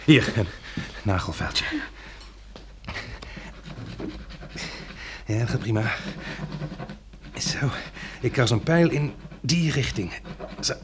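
An actor playing a calm, practical survivor in a radio drama, reasoning in a measured way and soothing like a tender protector. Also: male voice, mid-range voice, background noise. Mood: calm, reassuring.

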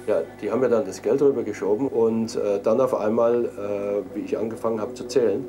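A man's voice: on the low side, steady tone, a little fast, over music. A man being interviewed, hesitant and full of fillers as he recounts events. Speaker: interviewee, prisoner. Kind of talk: recorded interview.

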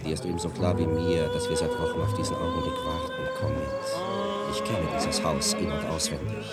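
An actor playing a smug, insistent seducer in a German radio play. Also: male voice, sits low, huge pitch swings, a little fast, loud music behind the voice. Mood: smug, persuasive.

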